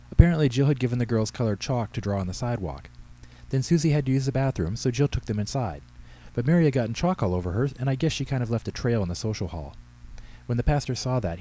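A man's voice: mid-range voice, animated, fast, dark tone, pitched above the performer's own voice, lightly acted. Man voicing a nervous, chatty middle-aged church lady. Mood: anxious explaining. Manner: chatty, anxious, apologetic explaining.

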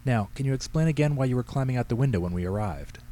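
A man voicing a calm, practical woman police officer who questions people in a direct, kindly but firm way in a story reading. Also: medium pitch, fast talker, pitched above the performer's own voice, natural voice.

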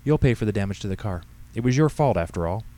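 A man's voice: lowish voice, expressive, quick pace, mellow, pitched above the performer's own voice, close to an everyday voice. A man voicing a bossy, cantankerous old woman who huffs and scolds in an audiobook story. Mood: scolding. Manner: huffy, scolding. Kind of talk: audiobook character dialogue.

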